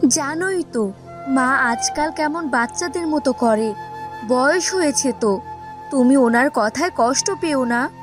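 A woman playing a gentle, caring village wife in a cartoon fairy tale, soothing and concerned in manner. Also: high voice, measured pace, soft background music. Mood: consoling. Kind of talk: animated fairy tale acting.

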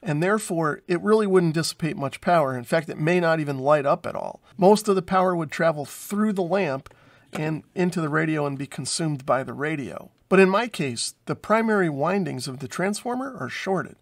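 Higher-pitched man explaining. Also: lively intonation, moderate pace. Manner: plain-spoken, methodical explaining. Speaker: radio repair hobbyist and presenter. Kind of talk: explanatory tutorial talk to camera.

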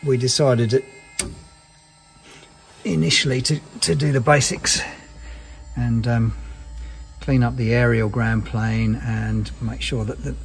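A man's voice: mid-range voice, fairly even intonation, slow, vocal fry, pauses often, soft background music. A man giving an informal technical explanation in a matter-of-fact, understated and somewhat hesitant way, as a maintenance engineer. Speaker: aircraft maintenance engineer presenting.

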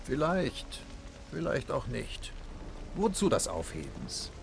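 A man's voice: medium pitch, huge pitch swings, slow pace, creaky, soft background music, heavily acted. An actor playing a jaded, sardonic, cruel nobleman bandit in video game dialogue, with a wry, dismissive drawl. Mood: contemptuous. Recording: rain and outdoor ambience behind.

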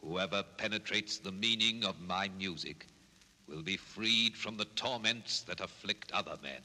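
Solemn composer, long echoing reverb. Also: male voice, sits low, measured pace, sharp tone, full character voice. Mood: solemn, exalted. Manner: declamatory, grand. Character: visionary, solemn, impassioned great composer. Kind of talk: 1960s children's record radio-play acting. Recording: reverberant, slightly noisy vintage record.

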